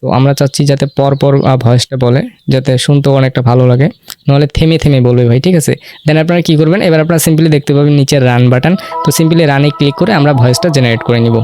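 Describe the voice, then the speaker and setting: Fast-talking man hosting a casual tutorial. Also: medium pitch, fairly even intonation, darkish tone, no room sound. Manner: informal, repeatedly checking listeners follow. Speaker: tutorial host. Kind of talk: casual step-by-step screen tutorial.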